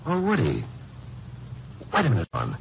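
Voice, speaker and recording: An actor playing a hard-boiled lawyer in a 1950s radio crime drama, with a terse, dry delivery. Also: male voice, mid-range voice, wildly swooping pitch, moderate pace, creaky. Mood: earnest, resolute. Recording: noisy, muffled vintage radio recording.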